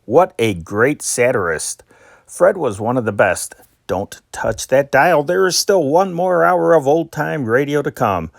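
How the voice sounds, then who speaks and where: A man's voice: mid-range voice, creaky, no room sound, studio quality. A man presenting an old-time radio channel in casual, enthusiastic narration. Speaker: channel host.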